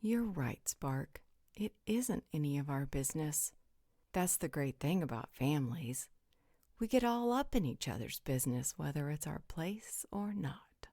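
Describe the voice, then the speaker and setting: An actress playing a bossy, sardonic female vampire in an audio roleplay, with a husky, breathy voice and a commanding, deliberate delivery. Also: low-pitched, expressive, dark tone, no echo, clean studio sound, full character voice. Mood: assertive, wry.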